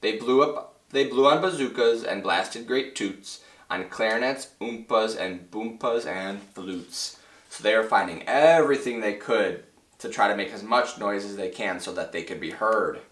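A man in his twenties reading a children's story aloud as a teacher, expressive and clearly phrased for young children. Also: lowish voice, normal speed. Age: 20s. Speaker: first grade teacher. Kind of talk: children's read-aloud narration. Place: small indoor room with bare wall and whiteboard. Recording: roomy, slightly distant mic.

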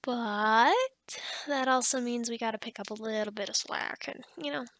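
A woman's voice: fairly high pitch, moderate pace, a bit bright. A woman playing a kindly, scatterbrained office boss in an ASMR roleplay, chatting in a rambling, encouraging way. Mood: upbeat, casual. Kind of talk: ASMR roleplay monologue, casual pep talk.

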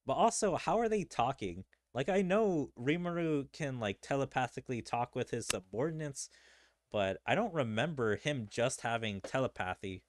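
A man's voice: medium pitch, expressive, moderate pace. A man giving casual gameplay commentary as a gaming video creator. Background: faint game music.

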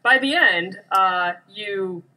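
A woman's voice: medium pitch, slow pace, pushed and bright, rough recording. A woman co-hosting a podcast in casual chat, with a blunt, informal way of talking. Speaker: podcast co-host. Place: small room.

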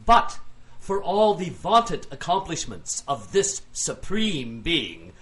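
Pompous plaintiff, higher-pitched and animated. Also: male voice, exaggerated voice. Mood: pompous, assertive. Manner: oratorical, pompous, deliberate. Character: self-assured male plaintiff arguing pompously against God. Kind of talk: audio drama acting.

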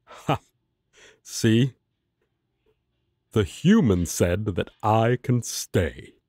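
A man's voice: on the low side, lively intonation, drawn-out words, darkish tone, no echo, full character voice. A man playing a haughty, protective angel in an audio drama, speaking in a formal, wary, disdainful manner. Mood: indignant, haughty. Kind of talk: roleplay audio drama acting.

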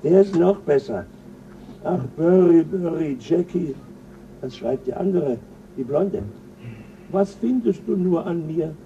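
An actor playing a crude, boastful male crewman on a spaceship, coarse and quick to flare up, in an old radio play. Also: a little high, expressive, warm tone, far from the mic, highs cut off, slight background noise. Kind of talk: 1970s radio play acting.

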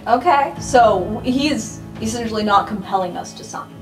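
A woman's voice: on the high side, moderate pace. A woman playing an improvised tabletop game in a casual conversational style. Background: background music.

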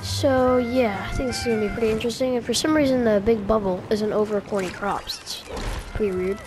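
A young woman who is sick, coughing and sniffling, giving casual gameplay commentary with hesitant pauses and many fillers. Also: on the high side, fairly even intonation, music in the background, low-quality recording. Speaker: gaming YouTuber.

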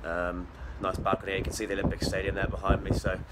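A man's voice: low-pitched, monotone, quick pace, very noisy. A young man talking casually to his phone held at arm's length, with chatty, rambling asides. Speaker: vlogger. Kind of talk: casual vlog to camera. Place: outdoors at a football ground and at home.

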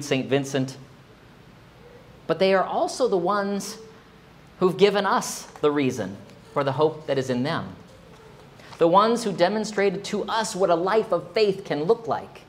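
Expressive male pastor preaching a sermon. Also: a little hiss or hum. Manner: expressive, rising to emphatic high exclamations. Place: small church sanctuary, indoors.

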